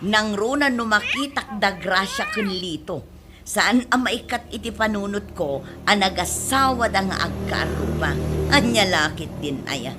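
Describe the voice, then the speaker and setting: A woman's voice: mid-range voice, expressive, measured pace, lightly acted. An actress playing an easily amused, teasing middle-aged village mother in a comedy radio drama, with an Ilocano accent. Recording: noisy background with clicks.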